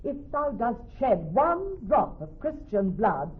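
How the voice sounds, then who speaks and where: Composed young judge, thin radio sound. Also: female voice, on the high side, very animated, breathy edge, heavily acted. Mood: stern, commanding. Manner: measured, formal, authoritative declamation. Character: clever young woman posing as a male judge, stern, composed. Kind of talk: classical Shakespearean radio drama acting. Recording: thin, narrow-band old radio broadcast.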